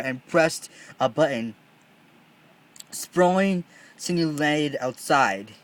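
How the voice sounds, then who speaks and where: A man with a fairly high voice reads a storybook aloud as narrator. He stumbles often and doubles back to repeat phrases. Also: a bit bright. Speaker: read-along narrator.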